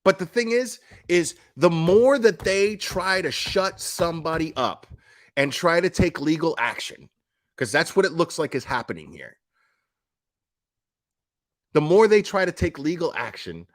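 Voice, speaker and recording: A man giving casual, opinionated commentary as a streamer, speaking in a blunt, emphatic, foul-mouthed manner. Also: a little high.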